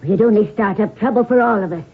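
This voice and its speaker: A woman's voice: medium pitch, fast, slightly rough, lightly acted. An actress playing an earnest, anxious young Irish woman in a 1970s radio drama. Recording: muffled 1970s radio broadcast.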